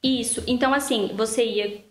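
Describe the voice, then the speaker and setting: A woman's voice: on the high side, fairly even intonation, moderate pace. A Brazilian woman with a Brazilian Portuguese accent, a lawyer telling long rambling stories as a podcast guest. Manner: rambling storyteller, full of "então, assim" fillers. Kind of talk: casual interview chat. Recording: echoey room.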